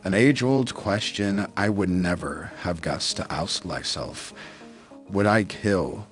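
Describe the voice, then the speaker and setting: Very low male voice, grave rich father played by a man, secretive, earnest roleplay drama. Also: takes it slowly, soft background music. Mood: solemn, reflective. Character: solemn, secretive wealthy father.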